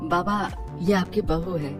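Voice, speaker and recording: A woman's voice: on the low side, unhurried, far from the mic, voice pushed down, close to an everyday voice. A woman playing a polite, earnest and devoted young man in a moral story. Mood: pleading. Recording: clear music under voice.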